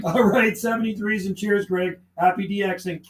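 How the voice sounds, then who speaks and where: Chatty CB radio man, slightly high voice. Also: steady tone, moderate pace, slight background noise. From western Canada. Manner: chatty, laughs often, rattles off radio call signs and jargon. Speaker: CB radio operator streaming live. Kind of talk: casual on-air radio chat.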